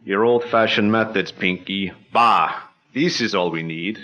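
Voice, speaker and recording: An actor playing a cold, scheming bank-robber boss who gives clipped orders in a 1940s-style radio drama. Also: male voice, fairly low pitch, a little slow, sharp tone. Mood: menacing. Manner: curt, commanding, clipped orders.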